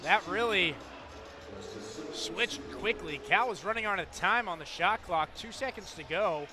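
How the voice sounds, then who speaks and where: A man's voice: sits high, measured pace, over music. An American man giving conversational, opinionated color commentary on a live basketball broadcast. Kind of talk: live sports analysis.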